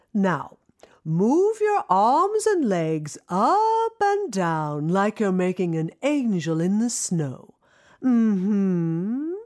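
A woman's voice: mid-range voice, heavily acted. A woman playing an elegant, exuberant older singing teacher in an audio drama, theatrical and commanding. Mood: brisk, commanding. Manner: theatrical, commanding, calls people darling. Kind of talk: audio drama character acting.

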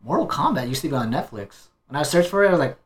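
A man on a podcast panel, chatting informally and thinking aloud in halting phrases. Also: fairly high pitch, expressive. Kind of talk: casual chat.

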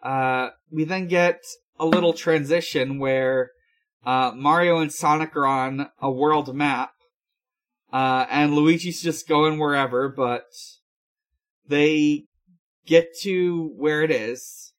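A man hosting a podcast, giving a casual recap in a halting, hesitant way. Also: on the high side. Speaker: podcast host. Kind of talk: casual episode recap chat.

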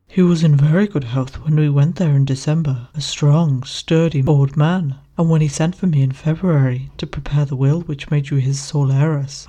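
A woman's voice: deep voice, warm tone, husky. A woman voicing a grave, solemn lawyer. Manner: measured, solemn, heavy pauses. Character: grave, solemn male family lawyer, middle-aged. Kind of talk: audiobook character reading.

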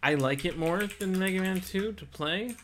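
A man co-hosting a casual video game podcast, speaking in a halting, deliberate way as he thinks aloud. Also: high voice, a bit bright, slight background noise. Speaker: podcast co-host.

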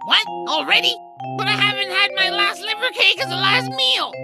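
A woman voicing a small, grumbling, cowardly troll with a creaky, whiny, theatrical delivery in a family audio drama. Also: very high voice, moderate pace. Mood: groggy, panicked. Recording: music under the voice. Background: background music.

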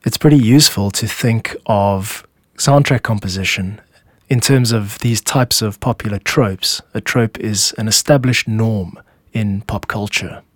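Low-voiced man hosting in a dry studio. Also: a little slow, no room sound. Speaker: podcast host and music composer. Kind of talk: scripted explanatory narration.

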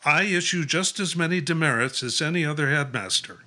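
Stern, bright-voiced priest headmaster. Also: male voice, on the high side, fairly quick. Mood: exasperated. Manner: curt, exasperated, admonishing. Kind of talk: audio drama acting.

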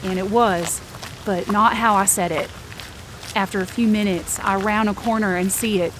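A woman's voice: medium pitch, steady tone, moderate pace, fairly bright tone, a little hiss or hum. Woman narrating a tense first-person story. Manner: tense, searching inner monologue. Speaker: narrator and lead performer.

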